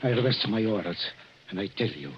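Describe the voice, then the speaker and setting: A man acts out a superstitious Italian boatman in a vintage radio mystery. His English is broken and halting, thick with an Italian accent and full of foreboding. The recording is dull, with faint noise behind it. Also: lowish voice, lively intonation, close to an everyday voice. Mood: ominous warning. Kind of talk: 1940s radio mystery acting. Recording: muffled, noisy 1940s radio recording.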